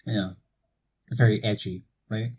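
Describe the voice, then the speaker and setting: Young man, from Portugal, husky voice, relaxed chat, cheap mic. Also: on the low side, slow. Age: young adult. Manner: relaxed, swears casually, laughs often. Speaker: podcast co-host. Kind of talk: casual chat. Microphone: cheap low-quality mic.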